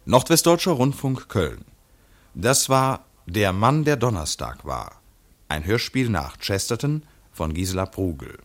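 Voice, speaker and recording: A middle-aged man reading the closing credits of a radio play in a formal, measured announcer's manner. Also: lowish voice, big pitch swings.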